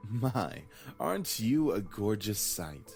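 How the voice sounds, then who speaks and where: A slow, seductive game suitor. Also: male voice, on the low side, very animated, creaky, darkish tone, exaggerated voice. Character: flirtatious, smooth-talking mysterious male suitor. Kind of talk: visual novel game voice acting. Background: game music.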